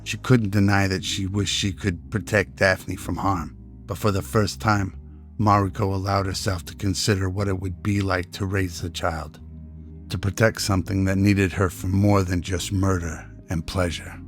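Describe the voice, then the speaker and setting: Male narrator for scripted audio drama, flat contour, slow pace, soft music bed. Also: low-pitched.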